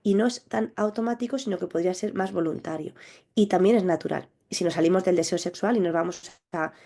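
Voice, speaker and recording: A woman sexologist answering interview questions, explaining in a measured, thoughtful way with small restarts. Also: lowish voice, warm tone. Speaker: clinical sexologist guest.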